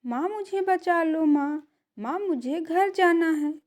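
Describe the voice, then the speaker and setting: A woman's voice: extremely high pitch, slow, darkish tone, pitched above the performer's own voice. A woman voicing an impulsive young female bird in a children's cartoon, childlike and pleading in manner.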